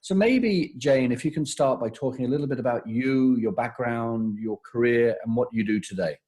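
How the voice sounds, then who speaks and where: Mellow, halting male podcast host. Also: mid-range voice. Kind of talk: conversational interview hosting.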